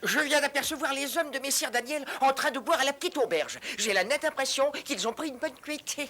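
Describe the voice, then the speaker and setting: An actor playing a cheeky young outlaw companion in a French cartoon dub. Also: male voice, very high voice, fairly quick, noisy background. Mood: brisk, informative.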